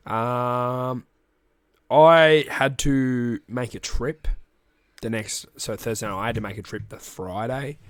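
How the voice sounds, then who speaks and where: An Australian man hosts a loose, chatty sports recap while fighting off a cold. His voice sounds phlegmy, and he coughs now and then. It sits at a middling pitch and is recorded close and dry. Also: no echo. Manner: rambling and chatty, with restarts and asides. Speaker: rugby league podcast host. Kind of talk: casual recap chat.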